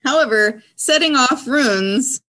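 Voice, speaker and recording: A woman playing a tabletop roleplaying game in character, speaking in measured, articulate and rather formal sentences. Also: high-pitched, lively intonation, pushed and bright.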